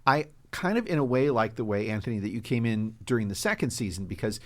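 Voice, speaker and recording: A man co-hosting a podcast in casual, jokey discussion, quick to laugh. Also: medium pitch, animated. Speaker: podcast co-host.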